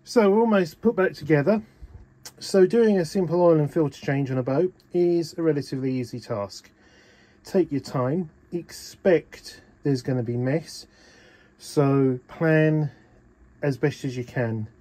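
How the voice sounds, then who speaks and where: A man's voice: a little high, unhurried, warm tone. A man casually explaining an engine oil change on his boat, talking with many ums into a phone held in one hand in a small cabin. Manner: practical, chatty, many ums. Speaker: boat owner showing engine maintenance. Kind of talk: casual how-to narration.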